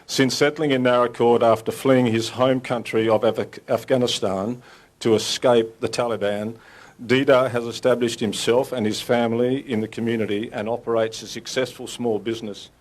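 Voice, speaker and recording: A man acting as master of ceremonies, formally introducing a guest speaker at a ceremony. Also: on the low side.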